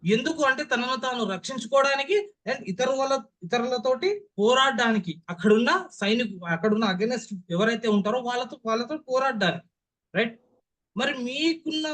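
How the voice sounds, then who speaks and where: High-pitched young man teaching emphatically, Telugu accent. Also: fairly quick, a bit bright. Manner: emphatic, stressing key words, checking understanding. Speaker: public speaking trainer. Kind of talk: online class lecture with rhetorical questions.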